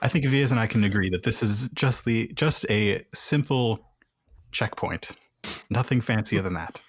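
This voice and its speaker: A man's voice: fairly low pitch, normal speed, husky, dry recording. A man playing a tabletop role-playing game, chatting casually as he describes what his character does.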